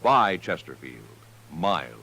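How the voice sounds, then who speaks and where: A man's voice: deep voice, sing-song, slow, pushed and bright, husky. An American man reading a scripted commercial in the style of a 1950s radio announcer. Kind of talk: 1950s radio advertising read.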